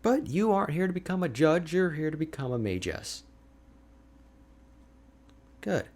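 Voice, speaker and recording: A man playing an energetic, boastful, chatty ice mage hermit in an ASMR roleplay, with a playful, expressive, conversational delivery. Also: fairly high pitch, a little slow. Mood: boastful, friendly. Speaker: audio roleplay voice actor.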